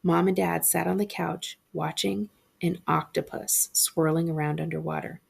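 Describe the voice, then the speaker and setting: A woman narrates a children's horror story aloud as a librarian would, with expression. Her voice sits on the low side and is very creaky, with a husky, breathy grain. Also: steady tone, moderate pace.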